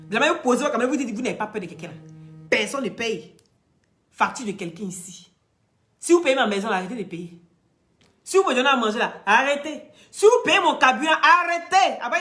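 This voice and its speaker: A woman with an Ivorian French accent, French not her first language, giving a blunt, emphatic monologue to camera. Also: on the high side. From Côte d'Ivoire. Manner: blunt, emphatic, street slang, rises to loud outbursts. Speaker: online commentator.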